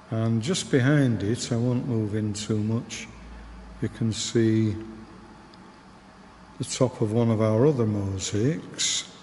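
Slow-spoken Northern English man. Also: lowish voice. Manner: slow, gentle, explanatory. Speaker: church tour guide. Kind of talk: unscripted guided tour voice-over. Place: inside a large church. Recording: reverberant church hall, faint background noise.

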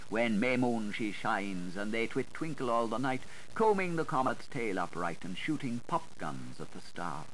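A man's voice: fairly low pitch, a bit bright. A man narrating a literary audiobook in a playful, dramatic, sing-song manner with shifting inflection. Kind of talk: theatrical literary audiobook reading. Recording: noisy 1980s audiobook recording.

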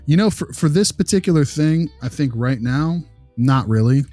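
A man's voice: fairly high pitch, expressive, moderate pace, mellow, soft background music. A man playing an easygoing behavior consultant, talking informally and reassuringly in a role-played meeting with a parent.

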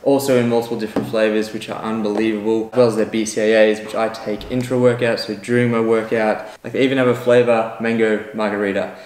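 A young man vlogging casually in a relaxed, chatty, self-mocking way, filmed on a camera mic under a house deck and indoors. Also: fairly low pitch, fast, few pauses, slight background noise. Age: young adult. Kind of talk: casual vlog chat. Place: outdoor area under a house deck, then indoor room.

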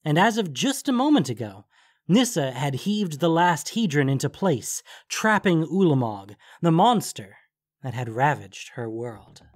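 Animated male story narrator. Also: on the high side, a little slow. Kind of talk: dramatic scripted story narration.

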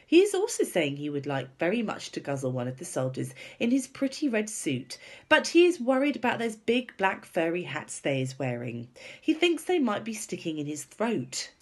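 A woman's voice: on the low side, big pitch swings, normal speed, slightly rough, pitched above the performer's own voice. A woman voicing a big, friendly but stubborn male giant who talks in muddled grammar and invented words for a storybook. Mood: earnest.

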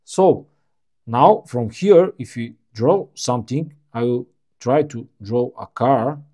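A man whose first language is Bulgarian, casually walking through phone features with plain, slightly halting phrasing. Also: medium pitch, warm tone. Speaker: tech reviewer. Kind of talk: casual screen walkthrough.

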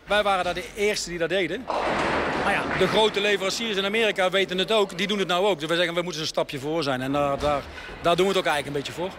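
A man with a fairly high voice explains his business plainly and earnestly to a radio reporter. He speaks into a handheld mic inside a bowling alley. The on-location recording carries clear background noise from the hall. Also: steady tone, fast. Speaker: bowling lane entrepreneur. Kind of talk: radio interview answers. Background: bowling alley ambience.

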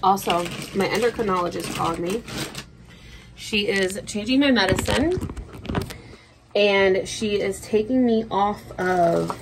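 A woman's voice: medium pitch, vocal fry, darkish tone, a little hiss or hum, low-quality recording. A woman in her thirties chatting casually in a rambling, sweary personal vlog recorded in a room at home. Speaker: vlogger. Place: small indoor room at home.

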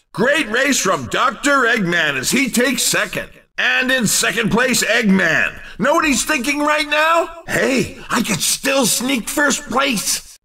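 A man voicing an excitable, showy race commentator in a video game, with theatrical, emphatic exclamations. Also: extremely high pitch, far from the mic, heavily acted. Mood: triumphant, shouting, then upbeat. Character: excitable male arcade racing game announcer, showy and quick to tease.

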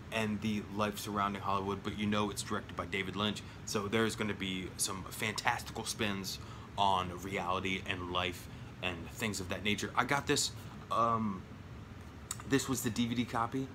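A man in his thirties chatting casually at home about his film collection, rambling with lots of fillers and jokes, his voice going hoarse. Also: lowish voice, noisy background. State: losing his voice. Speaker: collection vlogger. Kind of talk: casual home video chat. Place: small room at home, indoors. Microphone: phone or webcam at arm's length.

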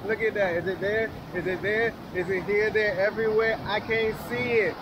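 A man's voice: sits high, steady tone, moderate pace. A young man talking casually to camera outdoors in a colloquial, easygoing way, as a travel vlogger. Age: young adult. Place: outdoors by a roadside and river waterfall. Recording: outdoor camera audio with ambient noise.

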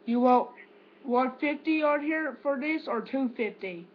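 A teenage male prank caller talking casually in a halting, repetitive, confused manner. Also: extremely high pitch. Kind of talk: prank phone call, casual improvised talk. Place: small room at home, indoors. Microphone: webcam or laptop microphone. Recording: dull, noisy webcam microphone.

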